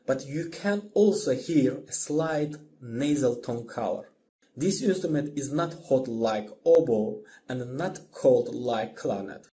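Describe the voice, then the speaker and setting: A man giving a scripted lecture as a voice-over, in a measured, explanatory manner. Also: medium pitch, dark tone, a little hiss or hum. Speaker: music lecturer.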